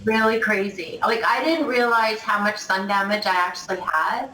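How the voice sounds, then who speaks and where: A woman's voice: medium pitch, moderate pace, bright, forceful tone, slight background noise. A woman in her mid fifties giving an unscripted testimonial in a gushing, emphatic, enthusiastic way. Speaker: company distributor giving testimonial. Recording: roomy, echoey, distant mic.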